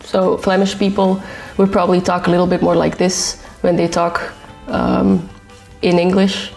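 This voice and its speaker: Woman from Belgium, cultural consultant, low-set voice, casual and a little hesitant. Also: normal speed, gravelly, faint music behind, poor audio. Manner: relaxed, chatty, hesitant with fillers. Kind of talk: casual commentary.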